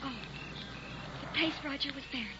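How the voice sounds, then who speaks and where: An actress playing an anxious, desperate young wife who talks fearfully, in 1940s radio acting style. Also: female voice, high voice, fairly even intonation, brisk, lightly acted. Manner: breathless, fearful, pleading. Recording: dull old radio recording with loud hiss.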